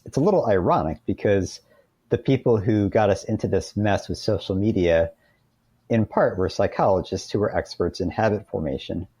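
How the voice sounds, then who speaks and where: An American man speaking as an interview guest, explaining things conversationally in a thoughtful, halting way. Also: low voice, dark tone.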